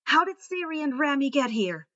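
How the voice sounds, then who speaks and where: A woman plays a teenage boy wizard from a fantasy story. He is earnest and hurried, with a high, bright voice. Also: sing-song, no room sound, muffled recording, full character voice. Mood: surprised, urgent. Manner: earnest, rushed.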